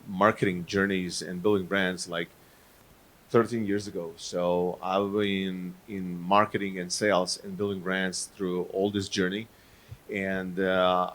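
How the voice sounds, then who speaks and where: Low, flat man, English, hesitant panelist. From Croatia. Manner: halting, many fillers, non-native phrasing. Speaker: panelist, fintech marketer. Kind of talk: live panel introduction. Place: conference stage.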